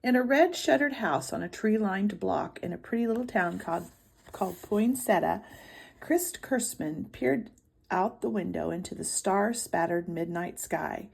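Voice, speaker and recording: A woman reading a storybook aloud to children in expressive narration. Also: mid-range voice, a little slow, creaky, slightly rough. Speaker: storybook reader.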